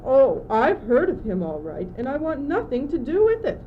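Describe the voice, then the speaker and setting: Casting: teenage girl as confused, gloomy young courtier in school radio play, highish female voice, stagey plaintive delivery, lo-fi sound. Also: huge pitch swings, moderate pace. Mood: imperious. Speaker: student actor. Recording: muffled old amateur recording, noisy.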